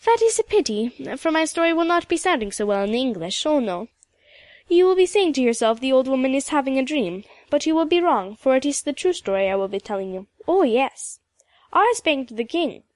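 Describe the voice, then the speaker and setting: A woman reading a storybook, voicing a proud, dignified old widow with a Highland Scottish accent and a rich, emphatic delivery. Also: big pitch swings, brisk, dry recording. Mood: earnest, proud. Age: older. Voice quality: rich, powerful. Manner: stately, emphatic, lilting turns of phrase.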